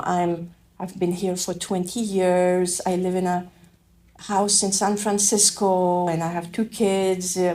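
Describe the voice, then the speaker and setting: A middle-aged woman from Afghanistan with a French accent, telling her own story in a reflective, halting way with many restarts. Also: medium pitch, mellow. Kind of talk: reflective personal testimony.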